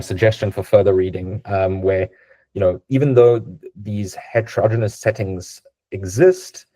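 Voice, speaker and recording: A man's voice: sits low, mellow, poor audio. A man whose first language is Serbian, giving a conversational technical talk over a video call, with many fillers and tag questions. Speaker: guest speaker.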